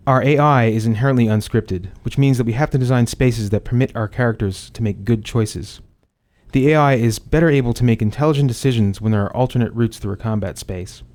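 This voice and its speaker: Male developer, rapid delivery, average pitch, explaining design from a script. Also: a little mellow. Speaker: game developer.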